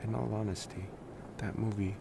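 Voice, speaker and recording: A man playing a friendly, gently bemused college vampire who rambles casually and hesitantly in an intimate ASMR roleplay. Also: fairly even intonation. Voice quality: creaky low voice. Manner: casual, hesitant, drawn-out musing with sighs. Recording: clear background noise.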